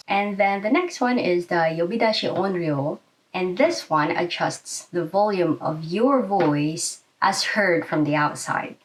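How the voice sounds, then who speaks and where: Young woman explaining, off the mic, lowish voice. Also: recorded from a distance, low-quality recording. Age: young adult. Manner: casual, explanatory, step by step. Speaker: video host and presenter. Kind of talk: informal instructional explainer to camera. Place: small plain indoor room.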